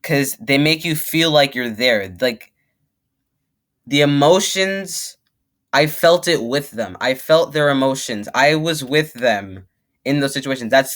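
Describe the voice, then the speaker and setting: A man's voice: mid-range voice, fairly bright tone. A young man hosting a reaction video with casual, rambling commentary, emphatic and repeating himself to make a point. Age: young adult.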